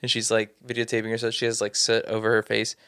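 A man's voice: lowish voice, monotone, fast talker, fairly bright tone, clean tone, no room sound, studio quality. A young man co-hosting a casual podcast, telling stories with a joking tone and frequent chuckles. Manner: joking storyteller, chuckles often. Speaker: podcast co-host. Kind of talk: casual chat.

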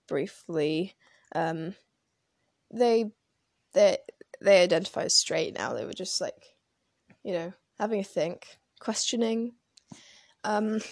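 A woman who grew up in France talking casually into her phone for a vlog, hesitant and rambling with plenty of pauses and fillers. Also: medium pitch, gravelly, dry recording, clean studio sound. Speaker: vlogger. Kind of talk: casual personal talk to camera.

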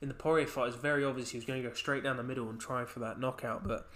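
A man's voice: medium pitch, steady tone, rapid-fire, fairly bright tone. A young man hosting a casual podcast chat while he has a cold, talking in a chatty way. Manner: chatty, reads out quotes in a punchy voice. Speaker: podcast host.

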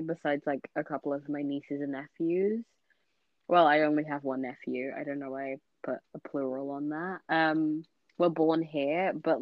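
A woman's voice: sits low, dry recording, dull audio. A woman in her twenties from Guyana with a slightly American-sounding accent, chatting casually and teasing with dry humor. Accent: mixed, slightly American. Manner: slow, dry teasing humor, laughs often. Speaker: podcast guest. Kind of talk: casual chat.

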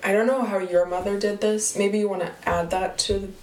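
A woman's voice: medium pitch, little pitch movement, moderate pace, a little mellow, a little hiss or hum. A young woman with Dutch and American roots who co-hosts a podcast, chatting casually and asking her partner questions. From Netherlands and USA. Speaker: podcast co-host. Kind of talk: casual chat. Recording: echoey, off-mic.

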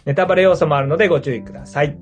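A man's voice: a little high, racing, faint music behind. A man in his thirties casually chatting, reacting and asking questions as a podcast co-host.

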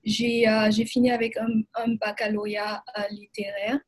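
A woman from Burkina Faso, answering interview questions in hesitant, measured phrasing. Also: medium pitch, level tone, husky. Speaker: guest, immigration lawyer.

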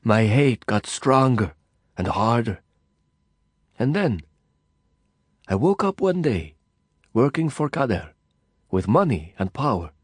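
A man narrating an audiobook voices a bitter refugee consumed by hate, speaking with quiet feverish zeal and American vowels touched by Arabic. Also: mid-range voice, very animated, slow pace, long pauses, husky, no room sound, studio quality, exaggerated voice. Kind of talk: audiobook dialogue reading.

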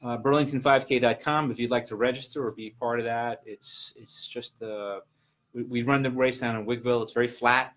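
A man in his early sixties speaks as a guest in a casual interview. His voice sits at an average pitch, and he talks haltingly, doubling back on words and letting thoughts trail off. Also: fairly even intonation, a bit bright. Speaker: guest, land trust representative and gym client. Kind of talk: casual unscripted interview chat.

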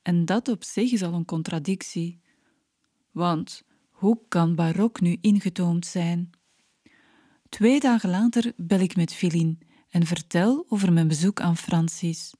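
A woman's voice: on the low side, unhurried, mellow, no echo, clean studio sound. A woman with a Flemish accent reading scripted, first-person narration for a documentary podcast.

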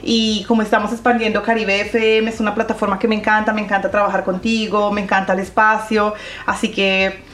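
A middle-aged woman on a radio show, explaining things steadily with pauses and fillers. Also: medium pitch, little pitch movement, fairly bright tone, roomy sound. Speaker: radio show host, interview guest. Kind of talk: conversational radio interview.

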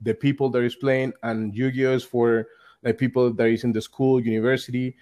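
Young guy on a call, english, keeps saying like and restarting, mid pitch. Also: little pitch movement, a little fast, a little mellow. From Colombia. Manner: loose, repetitive phrasing with many likes and restarts. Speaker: guest, card game store player. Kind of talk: casual interview over call.

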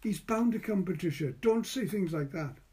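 A man's voice: high-pitched, animated, normal speed, darkish tone, voice pushed up. A man reading the part of a small, tidy, wistful young woman in a storybook. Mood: wistful, insistent.

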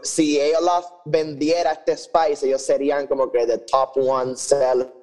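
Mellow, higher-voiced man, casual. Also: level tone, soft background music, poor audio. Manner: halting, repeats words, code-switches between Spanish and English. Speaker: podcast co-host. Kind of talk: casual conversational review.

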